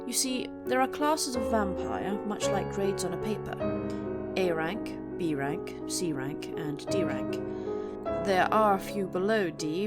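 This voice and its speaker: Over plucked guitar music, a woman voices an aristocratic vampire mistress in an audio roleplay. She is imperious and formal, measuring out her words in a fairly low voice. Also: lightly acted. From England. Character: aristocratic centuries-old female vampire, imperious, young-looking. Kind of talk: audio roleplay monologue to the listener. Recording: music under the voice.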